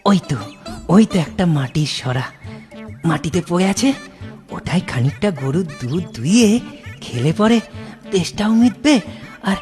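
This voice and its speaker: A woman's voice: on the low side, lively intonation, unhurried, pauses often, a little mellow, faint music behind. A woman voicing a simple-hearted, earnest village boy in a fairy-tale cartoon, often hesitating and repeating words. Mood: thirsty, musing. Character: naive, simple-hearted village boy devoted to his mother.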